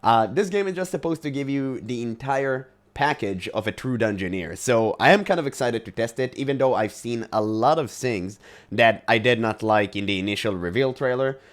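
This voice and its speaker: A man's voice: medium pitch, animated, moderate pace. A man hosting a casual gaming commentary, reacting aloud in informal language, his voice recovering from a cold. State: recovering from a cold, voice recently lost. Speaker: video game content creator, host.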